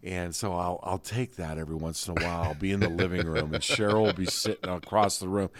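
A man's voice: low voice, fairly even intonation, fast, dry recording. A middle-aged man co-hosting a podcast, telling fond, rambling stories in casual chat. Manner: rambling storyteller, fond and nostalgic. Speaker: podcast co-host.

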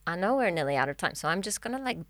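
A woman's voice: lowish voice, sing-song, racing, fairly bright tone, no room sound. A woman life coach speaking as a podcast guest in an earnest, encouraging way, often restarting her phrases. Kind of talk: casual podcast chat.

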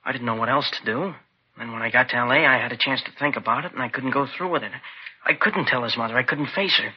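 A man's voice: medium pitch, fast, breathy edge, lightly acted. An actor playing a frightened, defensive young man under police questioning in a 1950s radio drama, pleading and agitated. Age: young adult. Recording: dull vintage 1950s radio recording.

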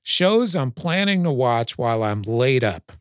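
A man's voice: medium pitch, very animated, measured pace, clear, resonant tone, dry recording, studio quality. A middle-aged man co-hosting a casual podcast, full of joking, self-deprecating banter. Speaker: podcast co-host.

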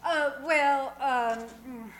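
A woman playing a flustered, evasive housewife in old-time radio comedy, with halting and exclamatory delivery. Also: high voice, full character voice. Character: flustered, evasive housewife neighbour, gushing and easily scandalised. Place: live stage performance at a winery. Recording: roomy live recording, loud noise.

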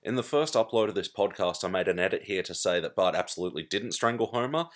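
A man's voice: low-pitched, expressive, fast, fairly bright tone. A man hosting a podcast, recording a sheepish, apologetic correction note. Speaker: podcast host.

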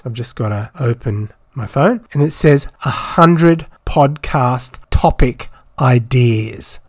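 A hoarse man rambles through an unscripted solo podcast, full of ums and self-deprecating jokes. His voice sits at an ordinary middle pitch, recorded dry and close in a home room. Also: no echo. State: hoarse, croaky voice. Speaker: podcast host. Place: home, isolating. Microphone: phone.

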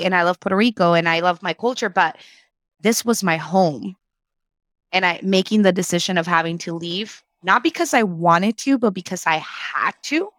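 A woman's voice: lowish voice, fairly bright tone, no echo, clean studio sound. A woman, reflectively telling her story as an interview guest and slipping Spanish phrases into her English. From Mexico.

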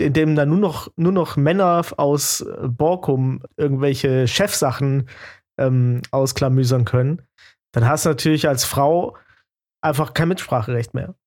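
A man's voice: medium pitch, a little mellow. A man from southern Germany discussing things casually and with opinions as a podcast co-host. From southern Germany, Swabia.

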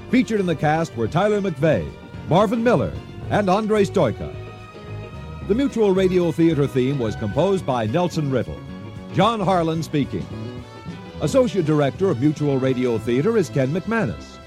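A male announcer with a moderately high voice delivers formal radio credits. His intonation rises and falls widely, with clear music behind him.

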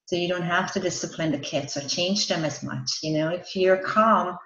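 A woman's voice: fairly low pitch, moderate pace, fairly bright tone. A woman from Austria, a parenting coach, explaining her work earnestly as a podcast guest. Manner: earnest, explanatory, laughs between points. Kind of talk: interview answers. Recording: roomy, dull lo-fi sound.

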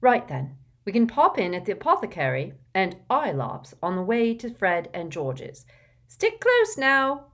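A woman's voice: mid-range voice, wildly swooping pitch, pitched above the performer's own voice. A woman voicing a bustling, motherly middle-aged witch herding everyone along. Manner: brisk, bustling.

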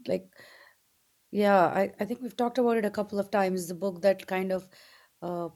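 A woman's voice: medium pitch, normal speed, darkish tone. A woman from India co-hosting a podcast in casual conversation, choosing her words tentatively and carefully. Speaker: podcast co-host.

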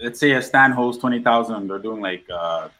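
A middle-aged man from Guyana chatting casually as a guest on a talk show, with a medium-pitched voice. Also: moderate pace, pushed and bright. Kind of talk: casual chat.

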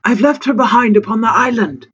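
A narrator putting on a desperate, high-strained old castaway. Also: fairly bright tone, husky, muffled recording. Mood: sorrowful. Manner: halting, pleading, archaic formal speech. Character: desperate, starving old castaway man hiding in darkness.

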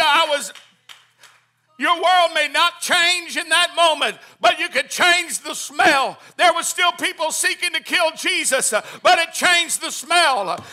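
High bright Pentecostal preacher, shouting then hushed. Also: male voice. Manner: swings from hushed to shouting, hallelujahs. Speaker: pastor. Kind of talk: Pentecostal sermon. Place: church.

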